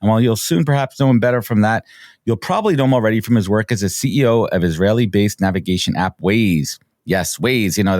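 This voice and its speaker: A man's voice: lowish voice, a little mellow, no room sound, studio quality. A man hosting a business podcast, enthusiastic, often restarting and repeating words. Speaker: podcast host. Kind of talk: casual interview chat.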